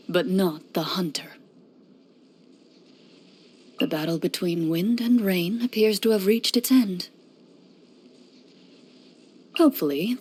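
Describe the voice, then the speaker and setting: An actress playing a composed, stern champion duelist in a video game, speaking in a formal, measured, reserved and precise way. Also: female voice, fairly low pitch, a little mellow, husky. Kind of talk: scripted video game character voice lines.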